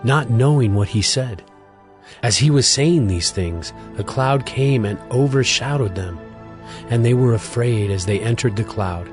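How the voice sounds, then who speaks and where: A man narrating scripture from a script as a measured storyteller. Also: medium pitch, lively intonation. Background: music.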